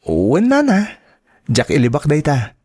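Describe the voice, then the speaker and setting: A man's voice: fairly high pitch, wildly swooping pitch, a little slow, mellow, breathy edge, no room sound, clean studio sound, heavily acted. A man playing an earnest, polite young suitor in a radio drama, explaining himself with an Ilocano accent. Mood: earnest, respectful. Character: earnest, polite young suitor who works in fast food. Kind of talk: Filipino radio drama acting.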